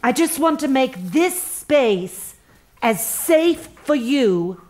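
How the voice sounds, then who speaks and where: An actress playing a relentlessly upbeat, sanctimonious human resources manager in a stage comedy, gushing with earnest, drawn-out emphasis. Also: female voice, high-pitched, lively intonation, a little mellow. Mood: careful, earnest. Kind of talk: comedic stage play acting. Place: theatre stage before a live audience.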